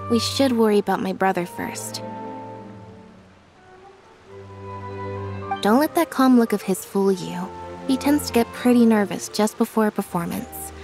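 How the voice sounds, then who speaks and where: A woman playing a composed, thoughtful young female magician's assistant in a video game, speaking in a measured, matter-of-fact way. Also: on the high side, lightly acted. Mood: calm concern.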